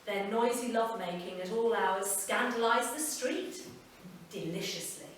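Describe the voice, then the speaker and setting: A woman reads a literary story aloud at a live festival reading. She is captured from a distance, so her voice sits back in a very echoey room with a faint hum of ambience behind her. Her reading is measured and unhurried, and her lines settle softly at the ends. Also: mid-range voice, lively intonation. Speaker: reader.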